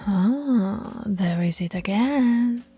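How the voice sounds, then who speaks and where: A woman's voice: mid-range voice, expressive, drawn-out words, mellow. A woman voicing a dominant female werewolf pack alpha in an audio roleplay, teasing and commanding, often dropping to a whisper. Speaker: voice actress.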